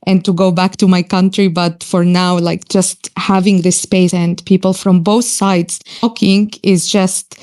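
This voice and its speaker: A woman's voice: fairly low pitch, flat delivery, measured pace, few pauses, darkish tone. A woman in her thirties who grew up in Israel, a native Arabic speaker, speaking earnestly with frequent hesitations as a guest in a live online audio discussion. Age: 30s.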